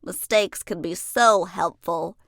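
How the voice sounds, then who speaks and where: A woman's voice: lowish voice, takes it slowly, fairly bright tone, dry recording, clean studio sound. A woman voicing a cheerful, self-assured male elephant baker in a children's storybook, speaking emphatically. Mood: happy.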